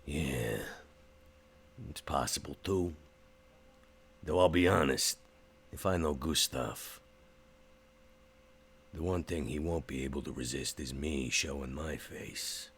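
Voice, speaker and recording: A man voicing a gruff, vengeful vampire in a tabletop roleplay game, with a folksy, menacing drawl and a gravelly voice. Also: extremely low pitch, slow pace, pauses often, exaggerated voice. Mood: grim. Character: gruff vengeful male vampire named Max.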